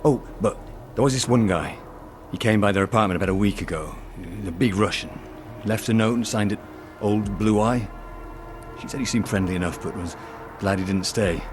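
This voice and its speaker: An actor playing a guilt-ridden, protective police lieutenant in a video game, speaking in an earnest, guarded, halting way. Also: male voice, lowish voice, noisy background, lightly acted. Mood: wary. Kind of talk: video game dialogue acting.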